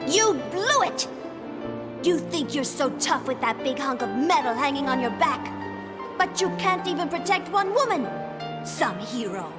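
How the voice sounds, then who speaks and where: A woman's voice: sits high, sing-song, unhurried. An actress playing an angry, scornful young woman in a video game, scolding and biting. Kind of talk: dramatic video game voice acting. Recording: loud music behind the voice.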